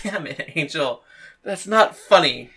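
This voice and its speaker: Woman as young female fighter, earnest, stubborn, hot-tempered, forceful tone, audiobook dialogue. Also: low-pitched, lively intonation, measured pace, slightly rough, heavily acted. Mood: grumbling.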